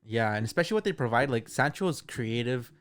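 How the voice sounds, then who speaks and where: A man's voice: mid-range voice, expressive, fast talker, clean tone. Young guy, mid voice, arguing soccer w/ his buddies on a podcast, slangy, repeats himself. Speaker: football podcast co-host. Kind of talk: casual sports debate among friends.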